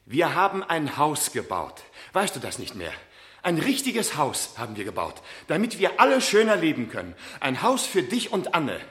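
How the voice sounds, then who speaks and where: A man's voice: fairly high pitch, wildly swooping pitch, normal speed, fairly bright tone. An actor playing an insistent, pleading middle-aged father in a German radio play. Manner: pleading, emphatic. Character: insistent, emotional father claiming his lost son.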